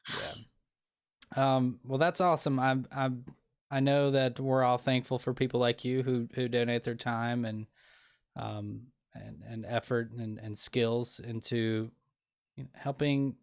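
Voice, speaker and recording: A man's voice: medium pitch, little pitch movement, takes it slowly. Rambling earnest male podcast host. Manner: rambling, many restarts and fillers; earnest, opinionated. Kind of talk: casual interview.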